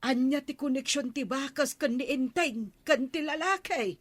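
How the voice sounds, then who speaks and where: A woman's voice: high voice, normal speed, no echo, natural voice. A woman playing a skeptical, gossiping village woman with an Ilocano accent in a radio comedy drama.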